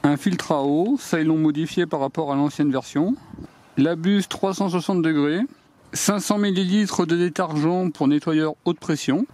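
A man's voice: on the high side, fairly even intonation, moderate pace, darkish tone. A man giving a hands-on, step-by-step demonstration of a tool outdoors on a garden deck, talking straight to viewers. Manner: plain step-by-step explaining, addresses viewers directly. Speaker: DIY video host.

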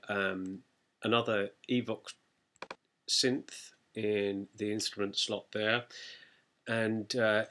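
Bright-voiced man giving a software tutorial. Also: lowish voice, slight background noise. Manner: explanatory, conversational. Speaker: music production tutor. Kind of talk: informal software tutorial narration.

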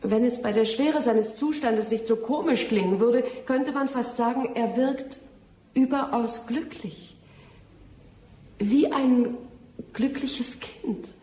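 A determined young woman of science in a period radio play. Her voice sits fairly high and has a husky grain. She speaks precisely and earnestly, with reproach in her delivery. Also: slow pace, mellow. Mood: earnest, insistent. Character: determined, accusing young female scientist of the 19th century. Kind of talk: German radio drama acting.

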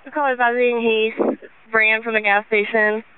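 A woman's voice: a little high, flat delivery, moderate pace, clean tone, no echo. A woman working as a police dispatcher, relaying calls over the radio in a procedural way. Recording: narrow, phone-like police radio transmission.

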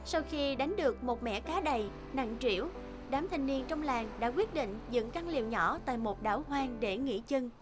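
A woman narrates a fairy tale in a high voice. She reads from a script as the storyteller of a cartoon, with music playing clearly behind her. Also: lively intonation, normal speed. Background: background music.

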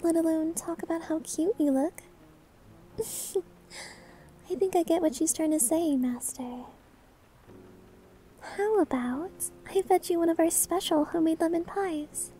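A woman's voice: very high voice, unhurried, long pauses, warm tone, slight background noise. A woman playing a sweet, bubbly, clumsy young maid in an ASMR roleplay, with a cutesy, teasing, eager manner. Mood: playful teasing.

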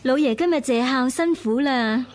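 Radio drama: deferential young female servant acted by a woman, dutiful, high-pitched. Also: normal speed, faint music behind, natural voice. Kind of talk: Cantonese radio drama acting.

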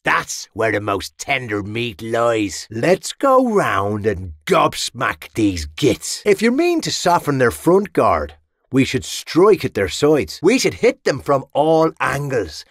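An actor with an Irish accent playing a crude, sardonic medieval mercenary soldier in a video game. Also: male voice, medium pitch, wildly swooping pitch, slow, no room sound, studio quality, heavily acted. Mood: scheming, commanding. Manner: sardonic, foul-mouthed, chuckling taunts. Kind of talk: game battle barks.

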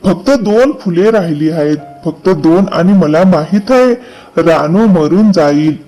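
Male actor as concerned cartoon crow friend, high-pitched for a man, sincere and caring. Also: expressive, a little slow, dark tone, faint music behind, rough recording. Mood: alarmed. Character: worried male crow, loyal neighbour and friend. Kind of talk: children's cartoon story dialogue.

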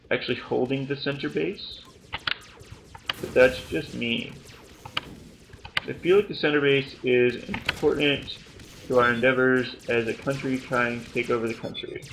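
A young man from Canada giving joking, self-mocking commentary over his own gameplay at home, now and then shouting at the screen. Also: medium pitch, vocal fry, noisy background. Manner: joking, self-mocking, bursts of yelling at the game. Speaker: gaming YouTuber. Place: small room at home.

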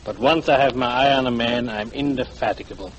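Male actor as fawning, self-important guide, florid courtesy, mid pitch, vintage radio drama sound. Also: brisk, highs cut off, natural voice. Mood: oily, ingratiating. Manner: oily, flowery, self-important politeness. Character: big, weary, unctuous foreign tour guide, eager to please. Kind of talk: 1940s radio acting. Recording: old 1940s radio broadcast, noisy.

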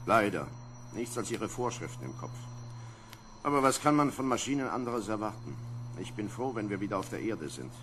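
A weary male lieutenant in an old space radio play. He has a lowish voice and gives orders with dry, gruff impatience. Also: sing-song, moderate pace, a bit bright, a little hiss or hum. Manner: commanding, dryly grumbling. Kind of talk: vintage German sci-fi radio play acting.

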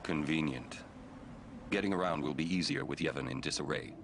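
Very deep, stoic warrior. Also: male voice, a little fast, creaky, background noise. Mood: dry. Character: gruff, stoic middle-aged male warrior. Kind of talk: video game cutscene acting.

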